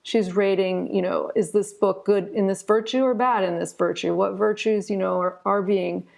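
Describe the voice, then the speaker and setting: Woman with a creaky voice, talking earnestly. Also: medium pitch, fairly even intonation, moderate pace, a little mellow. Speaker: interview guest, author. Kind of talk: conversational interview.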